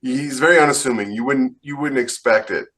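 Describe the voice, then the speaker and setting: A man's voice: mid-range voice, brisk, a bit bright. A man co-hosting a podcast, chatting casually in an earnest, emphatic conversational way. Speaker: podcast co-host and author.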